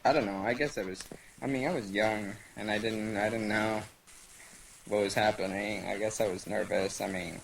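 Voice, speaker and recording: A young man from Venezuela whose first language is Spanish, telling stories in a halting casual interview with many fillers. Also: on the low side, fairly even intonation, creaky, slight background noise, poor audio. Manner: halting, lots of fillers and restarts. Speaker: guest. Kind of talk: casual interview over voice chat.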